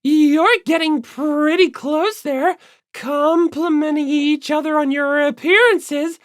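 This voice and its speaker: A man's voice: very high voice, fairly even intonation, takes it slowly, no room sound, studio quality, pitched above the performer's own voice. A man voicing a touchy, insecure, hostile teenage girl in an audiobook, grumbling and explosive. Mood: bitter.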